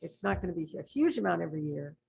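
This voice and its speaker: Woman, vocal fry, deeper voice, tentative thinking aloud, meeting room. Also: expressive, fast, a little mellow, a little hiss or hum. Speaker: town board member. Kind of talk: deliberating in a public meeting.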